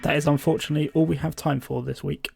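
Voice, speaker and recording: An actor playing an earnest podcast host from a post-apocalyptic future, speaking in a measured, presenterly way. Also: male voice, on the high side, fairly even intonation, creaky, darkish tone, faint music behind. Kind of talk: scripted fiction podcast hosting.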